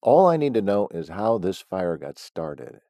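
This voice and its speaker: A man's voice: low-pitched, big pitch swings, measured pace, dark tone, dry recording, full character voice. A man voicing a stern, impatient middle-aged ranch boss who talks tersely. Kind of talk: audiobook character voice.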